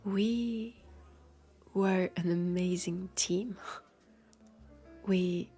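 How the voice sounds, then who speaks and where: Woman acting a grieving vampire detective, French accent, slow halting delivery, breathy and husky, intimate audio drama. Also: lowish voice, fairly even intonation, dark tone, faint music behind, exaggerated voice. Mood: nostalgic, wistful. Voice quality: creaky, breathy. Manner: halting, hesitant, confiding, slipping into whispers. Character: immortal female vampire police detective, grieving, guarded, world-weary.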